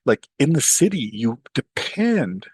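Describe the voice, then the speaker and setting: A man's voice: mid-range voice, sing-song, unhurried, a little mellow, breathy edge, no room sound. A middle-aged man from Pakistan, an author and professor, talking animatedly in an interview, often saying "you know" and acting out the voices of others. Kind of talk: interview conversation.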